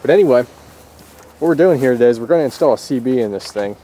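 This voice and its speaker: A man with a mid-pitched voice talks through a job in a casual, rambling how-to style. He sounds easygoing and explains things loosely. There is faint outdoor and vehicle noise behind him. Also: fast talker, poor audio. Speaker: host of a car radio install video. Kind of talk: casual hands-on how-to vlog. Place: in and around a parked car. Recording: steady background noise, outdoor and vehicle ambience.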